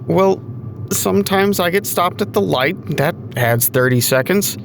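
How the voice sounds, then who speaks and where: An actor playing a casual, deadpan family dad in an audio drama. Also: male voice, high voice, unhurried, gravelly, background noise, full character voice. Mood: dry, casual. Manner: casual, dry. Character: easygoing, deadpan family dad on a road trip.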